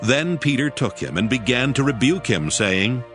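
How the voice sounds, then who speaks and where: A man narrating dramatized scripture in a measured, formal storytelling manner. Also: medium pitch, big pitch swings, a bit bright. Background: music.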